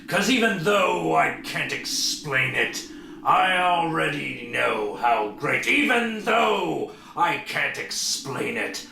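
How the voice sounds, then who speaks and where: A man's voice: on the high side, big pitch swings, takes it slowly, creaky, pushed and bright. A man giving a theatrical dramatic reading of song lyrics, drawing lines out and suddenly stressing words. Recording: noisy, roomy echoing recording.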